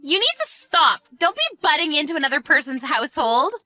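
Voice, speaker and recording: A woman voicing a spiteful, greedy sister-in-law with a shrill, whiny manner in a narrated family drama. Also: big pitch swings, normal speed, sharp tone, dry recording, faint music behind, full character voice. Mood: indignant, alarmed. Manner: shrill, indignant, pleading.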